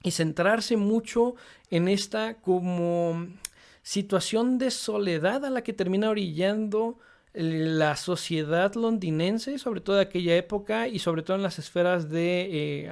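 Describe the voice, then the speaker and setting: Man, fairly high voice, relaxed unscripted explainer, many hesitations. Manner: relaxed, frequent fillers "eh" and "este". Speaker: photography channel host.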